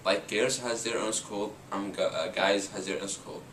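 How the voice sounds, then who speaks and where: A young student talks casually, leaning on filler words. His voice is somewhat low and recorded from a distance in a roomy, echoing space. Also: steady tone, moderate pace, background noise. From Saudi Arabia. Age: young adult. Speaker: international student. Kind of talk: interview answer. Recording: echoey room, mic at a distance.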